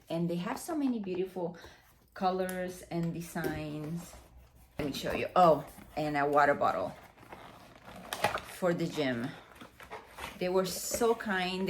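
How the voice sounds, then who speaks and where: A woman's voice: lowish voice, creaky. A woman in her mid forties from the Dominican Republic, a native Spanish speaker with a Dominican accent, chatting casually through an unboxing with many fillers. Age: 40s. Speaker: beauty vlogger. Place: room next to a window, street noise outside. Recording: faint outside noise from the window.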